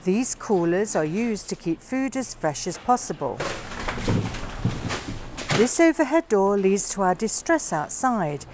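A woman guiding a warehouse tour, explaining each area in a slow, plain way. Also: medium pitch, very animated, background noise. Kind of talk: guided tour narration.

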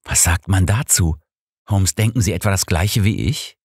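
A man's voice: low voice, normal speed, husky, no room sound, studio quality. A man reading an audiobook, voicing an earnest, eager doctor who is a detective's loyal companion. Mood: shocked. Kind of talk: audiobook character dialogue.

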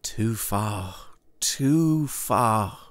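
A man performs a pious young Puritan husband in a classic storybook. He is anxious and hesitant but earnest, with archaic formal speech. The delivery is slow, with very lively swings in pitch. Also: no room sound. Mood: earnest protest. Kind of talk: dramatised audiobook reading of a classic tale.

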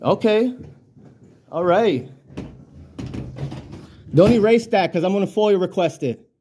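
A man's voice: high-pitched, animated, slow, dark tone, a little hiss or hum. A man arguing with officials in an insistent, repetitive way, recorded on a handheld camera in an indoor office. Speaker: citizen journalist filming an audit. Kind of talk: argumentative confrontation with officials. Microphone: handheld camera held by the speaker.

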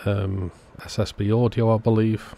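A man hosts an informal repair narration in a fairly deep voice. His tone is dark and relaxed, with no sharp edge. He explains each step in a plain, matter-of-fact way. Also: normal speed. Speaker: host of a radio repair channel. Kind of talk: informal scripted-sounding voice-over narration of a repair.

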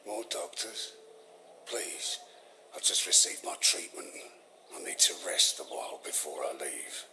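Ailing, agitated patient, noisy audio. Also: male voice, extremely low pitch, expressive, measured pace. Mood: weary. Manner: agitated, protesting. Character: ailing, evasive male hospital patient, panicky.

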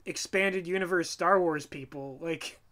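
Male co-host, bright forceful voice. Exasperated, sarcastic, emphatic casual commentary. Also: on the high side, a little slow. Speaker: co-host and writer giving commentary.

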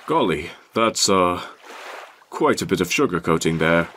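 A man voicing a big, gentle goat monster father in a comic dub, with a folksy, kindly, thoughtful way of talking. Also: low voice, animated, a little slow, a little hiss or hum. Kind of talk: animated comic dub voice acting.

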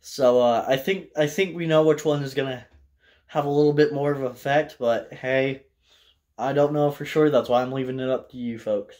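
A young American man tells a personal story casually to camera, in a loose, halting way with plenty of swearing. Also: mid-range voice, fairly even intonation, a little mellow. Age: young adult. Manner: loose, profane, halting delivery. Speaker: vlogger telling a personal story. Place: small indoor room with bare wall.

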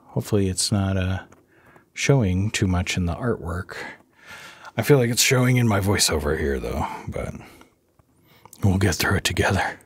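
A man hosting an art channel, narrating his drawing in a casual voice-over. He sounds under the weather and worn out, with a raspy voice and a rambling, soft-spoken manner. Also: low voice, lively intonation, moderate pace, pauses often, dry recording. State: under the weather, low energy.

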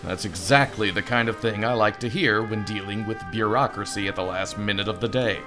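A man's voice: on the low side, brisk, over music, pitched below the performer's own voice, natural voice. Man playing a grumpy, exasperated harbor captain. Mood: grudging.

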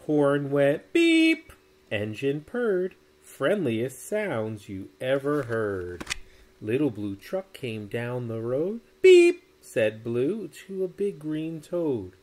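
A man in his forties reading a children's storybook aloud in a measured, sing-song way. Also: medium pitch, very animated, darkish tone, slight background noise. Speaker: storybook reader. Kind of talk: expressive children's read-aloud. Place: small indoor room.

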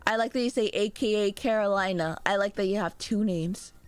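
A young adult woman streaming live, chatting excitedly with viewers and thanking them by name, speaking through a sore throat. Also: mid-range voice, fairly quick, clean tone, no room sound. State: sore throat, a little sick. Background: faint background music.

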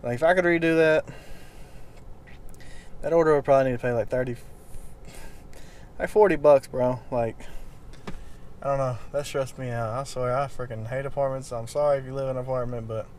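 A man's voice: medium pitch, fairly even intonation, a little fast, long pauses, a little mellow. Laid-back man vlogging from his car. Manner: laid-back, rambling, chuckles often, says "bro". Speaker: delivery driver vlogger. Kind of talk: casual vlog talk to camera. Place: parked car, inside. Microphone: dashboard camera. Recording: car and street noise behind.

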